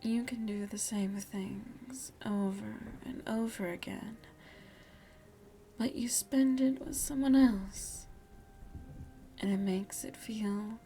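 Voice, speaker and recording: Woman acting a slow, breathy, seductive vampire lady. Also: mid-range voice, warm tone, slight background noise. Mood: indulgent, gracious. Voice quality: breathy, often dropping into a whisper. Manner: formal, teasing, velvety politeness with veiled menace. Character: seductive, aristocratic female vampire lady hosting a guest. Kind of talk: ASMR roleplay, intimate and slow.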